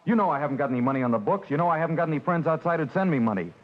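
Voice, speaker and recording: Jittery young convict, a man acting, quick and lively, dull old radio sound. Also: on the high side, close to an everyday voice. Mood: anxious. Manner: anxious, pleading. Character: scared young male inmate, nervous and pleading.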